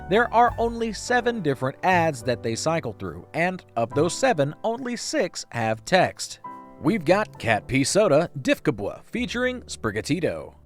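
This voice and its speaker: A man delivering comedic scripted narration for an online video, with wry, playful and self-deprecating asides. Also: on the high side. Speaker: YouTube video essay narrator.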